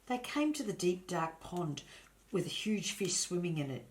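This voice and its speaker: Older female storybook narrator, low register, grandmotherly and expressive, speaking directly to children. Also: moderate pace, a little hiss or hum. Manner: grandmotherly, addresses listeners and points out pictures. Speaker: storybook reader.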